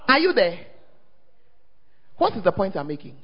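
A Ghanaian man preaches in a very high, lively voice, throwing questions out to his congregation and swooping up and down in pitch. The sound is dull and a little muffled. A long echo from the church hall hangs behind every phrase. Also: moderate pace, slightly rough. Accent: Ghanaian English. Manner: call-and-response, often asks the crowd "are you there?". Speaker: pastor. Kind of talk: animated preaching sermon.

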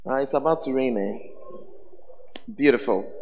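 A man preaching as a bishop, his voice hoarse, teasing the congregation with call-and-response questions. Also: medium pitch, moderate pace, a little mellow, slight background noise. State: hoarse, voice tired. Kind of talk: sermon. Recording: echoey hall with faint ambience.

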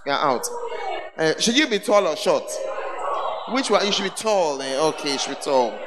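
Hoarse man preaching, lively pitch. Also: background noise. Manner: call-and-response with congregation, teasing. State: hoarse, voice tired. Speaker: bishop preaching. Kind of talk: sermon. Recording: echoey hall with faint ambience.

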